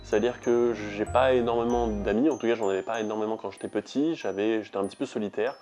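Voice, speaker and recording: A man's voice: fairly low pitch, fairly even intonation, fast, a little mellow, faint music behind. A young man with a French accent talking casually to viewers as a YouTube host, rambling with frequent hesitations. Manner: chatty, rambling with frequent "euh". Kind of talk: casual vlog talk to viewers.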